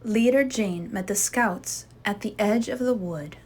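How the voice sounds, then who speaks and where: Slow, slightly husky woman storyteller. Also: mid-range voice. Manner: expressive, suspenseful storytelling. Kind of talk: children's storybook read-aloud.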